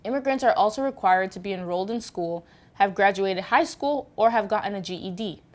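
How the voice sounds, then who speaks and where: A woman's voice: medium pitch, a little fast. A woman co-presenting a scripted news report, reading statistics from a script.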